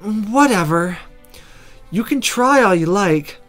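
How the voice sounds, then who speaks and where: A blunt young female apprentice mage from a visual novel, played by a man. The voice is pitched up and swings about, dismissive and challenging, with music behind it. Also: lively intonation, takes it slowly, a bit bright, exaggerated voice.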